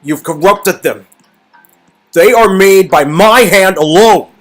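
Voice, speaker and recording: A man's voice: high-pitched, fairly bright tone, voice pushed up. Man putting on an outraged, pompous villain boss, raised voice. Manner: indignant, declamatory, drawn-out words.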